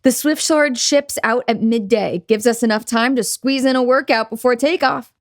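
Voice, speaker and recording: A woman's voice: on the high side, normal speed, no room sound, studio quality, natural voice. A woman voicing a hyped, pushy gym-bro soldier friend. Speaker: podcast co-host.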